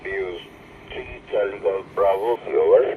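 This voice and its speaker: A man's voice: low-pitched, level tone, normal speed. Tinny radio voice, Bengali man. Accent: Bengali Indian. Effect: two-way VHF radio transmission. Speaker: amateur radio operator. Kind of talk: casual ham radio conversation. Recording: tinny, noisy VHF repeater radio link.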